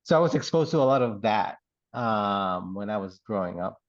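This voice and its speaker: A middle-aged man from the Dominican Republic and Puerto Rico, an artist in residence, telling his life story in a relaxed, rambling way with many fillers and laughs. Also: lowish voice, moderate pace, no echo, highs cut off. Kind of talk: oral history interview.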